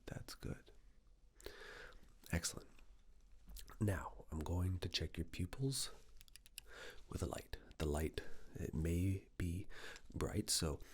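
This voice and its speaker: A man speaking in a breathy, husky whisper and soft low voice, giving soothing, measured instructions in a medical exam ASMR roleplay.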